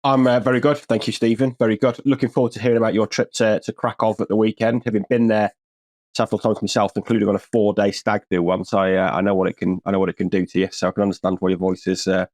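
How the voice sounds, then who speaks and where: A man's voice: lowish voice, level tone, fast, a little mellow, dry recording. A man with an English accent, a football journalist co-hosting a podcast, talking in a dry, wry, rambling way with lots of restarts. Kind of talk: casual podcast chat.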